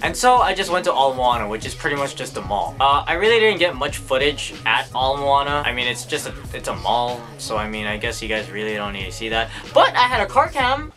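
A man's voice: medium pitch, very animated, fairly quick, hardly any pauses, pushed and bright, soft background music. A young man in a casual, rambling vlog, talking about his day with crude jokes and self-mockery, filmed first in his bedroom and then by a camera mounted in his car. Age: young adult. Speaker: vlogger. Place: small cluttered bedroom, then inside a parked car. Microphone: camera on a desk, then a dashboard car camera.

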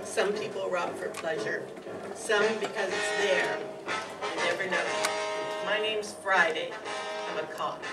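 An older woman playing a deadpan, terse, businesslike male police detective in a radio crime drama parody, with clipped delivery. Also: on the low side, unhurried, distant mic, over loud music, poor audio. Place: community meeting room stage with audience.

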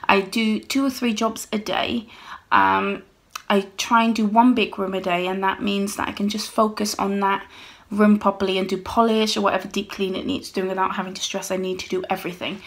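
A woman's voice: mid-range voice, flat delivery, brisk. A woman in her thirties chatting casually to her phone camera at home while tidying, rambling with friendly asides to viewers. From United Kingdom. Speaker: home cleaning vlogger. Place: small home, bedroom and hallway. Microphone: handheld phone camera at arm's length.

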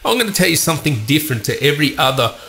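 A man's voice: fairly high pitch, big pitch swings, rapid-fire, gravelly, bright, forceful tone, slight background noise. A man with a cold and a congested voice, hosting a tech channel with chatty, opinionated commentary and rambling asides. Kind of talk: casual tech news commentary.

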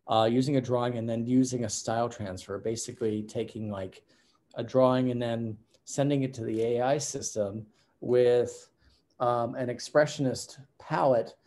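A man's voice: mid-range voice, mellow. A middle-aged man presenting his artwork over a video call, talking casually with many fillers and restarts. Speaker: artist presenting work.

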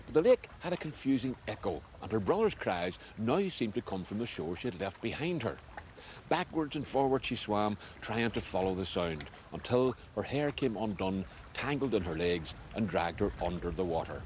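A man's voice: lowish voice, sing-song, normal speed, a little mellow, a little hiss or hum. A middle-aged man with a Northern Irish accent, presenting and interviewing for a television documentary. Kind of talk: scripted documentary narration and casual interviewing.

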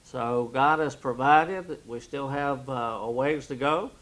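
Halting older missionary giving an update. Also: male voice, medium pitch, fairly bright tone. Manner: halting, many "uh" fillers. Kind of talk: project update. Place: studio set with wooden wall backdrop.

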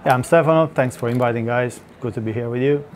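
Italian-accented sommelier, relaxed. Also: male voice, medium pitch, quick pace, slight background noise. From Italy. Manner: relaxed, with fillers. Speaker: head sommelier. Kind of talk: casual introduction. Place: wine cellar.

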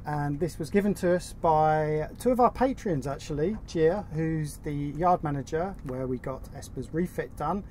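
A man's voice: fairly high pitch, moderate pace, few pauses, a little mellow. A middle-aged man talks keenly and casually about his favourite boat gadgets. He sits outdoors in a sailboat cockpit. A faint outdoor hiss sits under his voice. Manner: enthusiastic, chatty. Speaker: sailing vlog co-host. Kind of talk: casual chat presenting gear.

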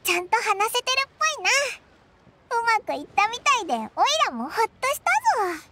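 A woman's voice: very high-pitched, sing-song, fast, noisy background. A woman playing a tiny, chirpy, childlike companion in an anime game, with cheeky, blunt, casual speech. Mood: relieved. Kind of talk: anime video game voice acting.

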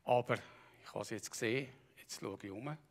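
A middle-aged or older man with a Bernese Swiss German accent, giving a halting personal account on stage and often clearing his throat. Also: mid-range voice. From Bernese Oberland, Switzerland. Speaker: practitioner giving a talk.

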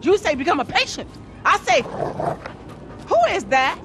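An actress playing a scornful, sharp-tongued middle-aged aunt in a video game, scolding as she talks. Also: very high-pitched, very animated, unhurried, over music, heavily acted.

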